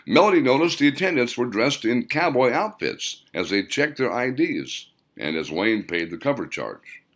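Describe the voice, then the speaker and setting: A man's voice: medium pitch, sing-song, normal speed, fairly bright tone. A man reading a scripted story aloud as the author and narrator of an audiobook.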